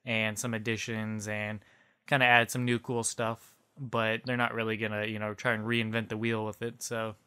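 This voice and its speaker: Man, podcast co-host, casual conversation, clear resonant voice, little pitch movement. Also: level tone, normal speed, pushed and bright.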